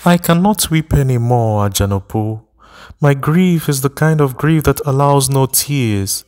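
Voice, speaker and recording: A man's voice: medium pitch, unhurried, darkish tone, voice pushed up, natural voice. A man voicing a grieving young mother who speaks in anguish and despair in a storybook reading.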